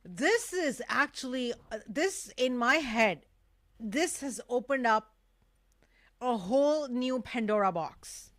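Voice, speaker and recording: A woman's voice: a little high, lively intonation, slow, a bit bright, no echo. A woman whose first language is Urdu, hosting a casual live talk show with an effusive, emphatic manner that repeats phrases for emphasis.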